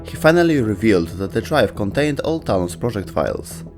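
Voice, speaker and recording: A man narrates a scripted video essay in a fairly low voice. His intonation moves in a lively way, and faint music plays underneath. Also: a little fast.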